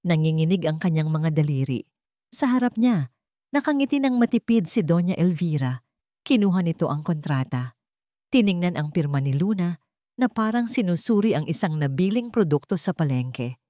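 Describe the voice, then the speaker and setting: A woman with a Filipino accent narrates a scripted story in measured, dramatic short phrases. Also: on the low side, expressive, warm tone, dry recording, studio quality.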